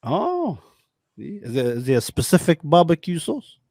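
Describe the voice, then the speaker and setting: A young man hosting a casual podcast interview, slangy, animated and quick to laugh. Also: fairly high pitch, dry recording, studio quality. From New Zealand. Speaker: podcast host.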